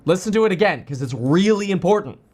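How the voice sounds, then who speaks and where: A man reacts to a clip on a livestream, joking and pausing to point things out. His pitch swings widely with excitement. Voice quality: animated, joking.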